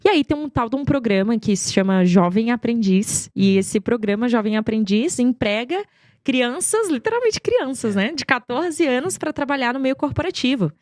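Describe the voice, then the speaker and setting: A woman's voice: a little high, expressive, normal speed, a little mellow, no echo, studio quality. A woman tells stories as an interview guest, talkative and emphatic, often posing rhetorical questions. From Goiânia, Brazil. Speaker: podcast guest, marketing executive. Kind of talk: conversational interview storytelling.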